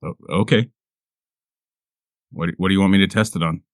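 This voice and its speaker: Low-voiced man at a gaming table. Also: normal speed, dry recording, studio quality. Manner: hesitant, repeating words, casual swearing. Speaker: player in a tabletop roleplaying game. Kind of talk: casual table chat and in-character play.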